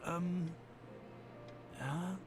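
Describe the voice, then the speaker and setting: An actor playing a fussy, talkative doctor companion in a video game. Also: male voice, high-pitched, steady tone, drawn-out words, full character voice. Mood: chatty. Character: chatty loyal male doctor companion. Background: game music.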